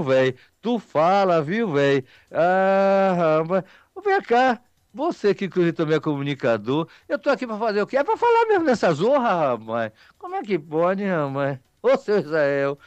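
A man's voice: high voice, dry recording, dull audio. A man with a Bahian Brazilian accent hosting local radio, chatting casually with listeners in a folksy, rambling, teasing way. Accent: Bahian Brazilian Portuguese.

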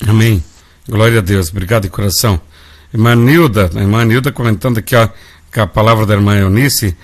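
Brazilian gospel radio host, folksy. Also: male voice, on the low side, brisk. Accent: Brazilian Portuguese. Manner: warm, folksy, calls listeners "family". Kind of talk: live gospel radio chat.

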